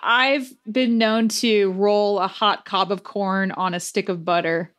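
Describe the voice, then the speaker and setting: A woman's voice: mid-range voice, fairly even intonation, measured pace, fairly bright tone. A woman guesting on a comedy podcast, chatting in a playful, dry way. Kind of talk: casual comedic chat.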